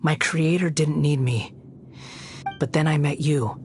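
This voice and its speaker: A woman's voice: low voice, steady tone, unhurried. A woman voicing a bitter, brooding young male living puppet in a video game, speaking formally with a sardonic edge. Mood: brooding, pleading.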